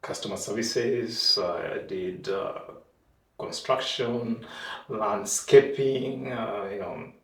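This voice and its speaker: A middle-aged man, speaking as a panel guest in a halting way full of "you know". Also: fairly low pitch. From Uganda. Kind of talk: panel discussion. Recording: roomy, distant mic.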